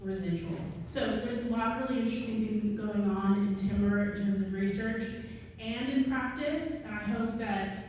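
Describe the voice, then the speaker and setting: A woman's voice: mid-range voice, moderate pace, a little mellow, highs cut off. A middle-aged American woman gives a conversational conference talk at a podium. She sounds far from the microphone in a big, very reverberant hall, with faint noise behind her. Her delivery is informal, with frequent little check-ins to the listeners. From Oregon, United States. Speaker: keynote speaker, architect. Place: large hall, at a podium on stage. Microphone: gooseneck podium mic, filmed from audience distance.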